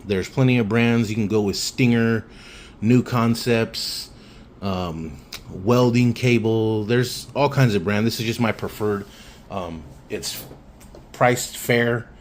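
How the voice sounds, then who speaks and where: A man's voice: fairly low pitch, slow pace. A man giving a casual hands-on explanation in a plainspoken, matter-of-fact way, recorded on a phone. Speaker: hobbyist demonstrating radio wiring. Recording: phone recording with background noise.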